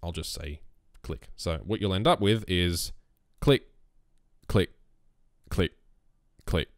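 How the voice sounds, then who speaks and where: A young man with a bassy voice explaining his recording setup in a relaxed, rambling way, close on a USB condenser mic on a boom arm. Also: slow, dry recording. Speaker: YouTube gaming creator. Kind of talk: casual screen-recorded tutorial.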